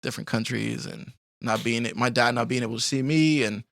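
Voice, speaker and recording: A young man from Colombia with a gravelly, fry-laced voice that still sounds bright and forward. He tells his story in a loose, rambling way, full of "like" and "you know," and laughs easily. The sound is dry and close. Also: mid-range voice, a little fast, no echo. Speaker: podcast guest. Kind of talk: casual life-story interview.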